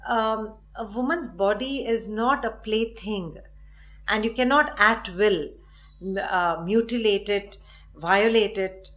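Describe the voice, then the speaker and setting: A middle-aged woman with a Tamil-tinged Indian English accent speaks at an ordinary mid pitch as an interview guest. She answers frankly and firmly, building her points with conviction. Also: slow, a bit bright. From Chennai, India. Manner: earnest, firm, with light laughs.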